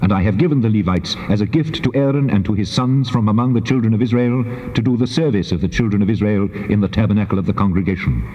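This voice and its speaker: An actor playing God as a solemn, commanding deity giving laws in a stately, measured dramatized scripture reading. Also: male voice, lowish voice, lightly acted. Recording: dull cassette tape, long cavernous reverb.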